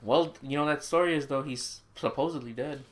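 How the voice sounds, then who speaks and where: A man's voice: a little high, fairly quick. A man co-hosting a podcast, chatting casually about games and anime in a giggly way. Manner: giggly, often laughing. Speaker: podcast co-host.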